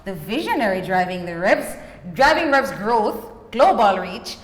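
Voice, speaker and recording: A woman's voice: medium pitch, very animated, a little slow. A woman hosting a podcast, upbeat and putting emphasis on her intro. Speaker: podcast host. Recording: echoey hall with long reverb.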